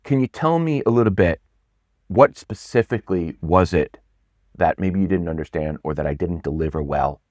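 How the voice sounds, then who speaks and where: A man with a very low, deep voice talks as a pitch coach in a casual interview. He speaks with animated stresses and keeps repeating and restarting words. The sound is close and dry, with no room around it. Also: moderate pace, no echo. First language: not Swedish; English speaker.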